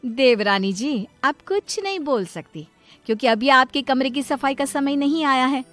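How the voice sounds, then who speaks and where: A woman's voice: high-pitched, moderate pace, fairly bright tone, soft background music, voice pushed up. A woman voicing a hot-tempered, domineering elder sister-in-law who scolds and commands in a moral story. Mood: haughty.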